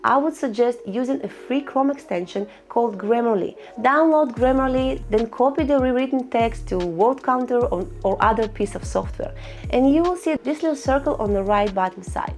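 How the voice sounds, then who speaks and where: Female tutorial host, fairly high voice, instructional and a bit cheeky, soft music bed. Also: expressive, normal speed. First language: Russian. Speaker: online income tutorial host. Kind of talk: screen-recorded tutorial voice-over.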